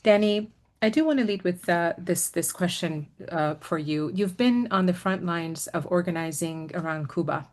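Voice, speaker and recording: A woman moderating a panel, closing her welcome and introducing the first speaker in a measured, earnest way. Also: fairly low pitch, a little mellow.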